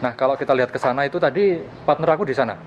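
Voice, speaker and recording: A man's voice: medium pitch, very fast, dull audio. A young man, explaining things casually and conversationally to camera as a gadget reviewer. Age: young adult. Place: outdoors in a street and park. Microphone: handheld camera at arm's length. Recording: clear outdoor street and vehicle noise.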